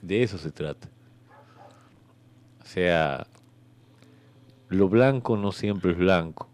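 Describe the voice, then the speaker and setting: A man with a Rioplatense Argentine accent playing God as a hesitant, bureaucratic afterlife clerk in radio comedy, rambling with searching pauses. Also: low voice, a little mellow. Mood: officious, helpful. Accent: Rioplatense Argentine Spanish. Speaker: comedy actor in a radio sketch. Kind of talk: improvised radio comedy dialogue.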